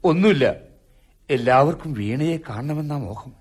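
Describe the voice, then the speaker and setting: A meek, worried father in a radio play. His voice is husky and moves a good deal in pitch, deferential and hesitant in its phrasing. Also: expressive, moderate pace, a little mellow. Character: worried, meek visiting father, middle-aged. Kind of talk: radio drama acting.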